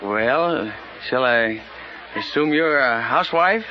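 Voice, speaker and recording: A man's voice: medium pitch, lively intonation, slow, over loud music. An American man, a fireman appearing as a radio quiz contestant, answering in a polite, plain-spoken, earnest way. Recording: dull, noisy 1950 radio broadcast. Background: studio audience.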